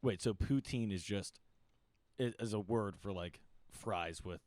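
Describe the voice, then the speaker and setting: A man's voice: fairly low pitch, measured pace, darkish tone, no room sound. A man in a casual podcast chat who keeps asking curious questions, swears freely and laughs easily.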